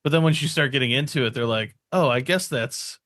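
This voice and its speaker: A male co-host with a medium-pitched voice trades casual banter. His manner is joking and teasing, and the sound is dry and close. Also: fairly quick, fairly bright tone, no echo.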